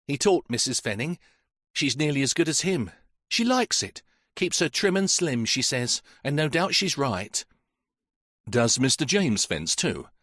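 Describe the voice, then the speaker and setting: A man's voice: medium pitch, sing-song, unhurried, fairly bright tone, no echo, studio quality, voice pushed up. A man voicing an elderly, chatty woman housekeeper who gossips freely about the family she works for. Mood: gossipy. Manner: gossipy, talkative.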